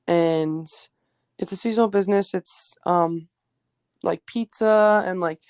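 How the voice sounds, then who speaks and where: Teenage girl, low voice, lots of "like". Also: measured pace, darkish tone, clear, resonant tone, no room sound, clean studio sound. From New Jersey, USA. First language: Greek. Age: teens. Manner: hesitant, many fillers like "um" and "like". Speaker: high school basketball player, guest. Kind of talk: casual interview answers.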